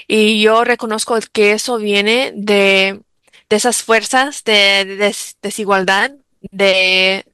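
Bright, crisp-voiced bilingual woman guest. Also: mid-range voice, steady tone, slow, dry recording. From United States. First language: English. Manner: reflective, many fillers and restarts. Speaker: interview guest, bilingual mother. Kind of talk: interview answers.

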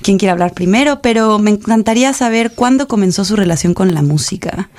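Female radio host, mellow voice, enthusiastic interviewer. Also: mid-range voice, lively intonation, brisk.

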